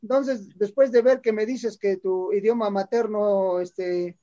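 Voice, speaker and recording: A man's voice: sits high, steady tone, unhurried, mellow, lo-fi sound. A man hosting a radio show, rambling and repeating words as he talks. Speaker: radio host. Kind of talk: radio interview chat.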